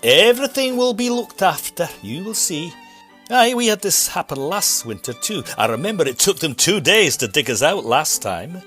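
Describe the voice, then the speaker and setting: A man's voice: fairly high pitch, sing-song, normal speed, pushed and bright, soft background music. A man playing a cheerful, folksy, reassuring Victorian train conductor in a farcical audio drama. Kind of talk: audio drama farce acting.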